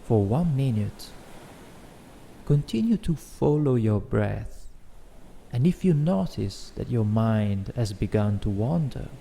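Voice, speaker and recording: Guy leading a guided meditation, really dark soft tone, bit of creak, soothing. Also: lowish voice, lively intonation, takes it slowly. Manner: gentle, soothing, instructional. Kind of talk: guided meditation, scripted narration. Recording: faint background noise, ambient music. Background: soft ambient music.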